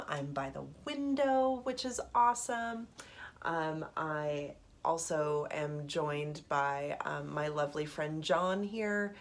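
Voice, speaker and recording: A woman in her thirties with a head cold, chatting casually to camera from a small apartment studio. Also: low voice. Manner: chatty, rambling, with many ums and little laughs. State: congested from a cold. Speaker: knitting podcast host.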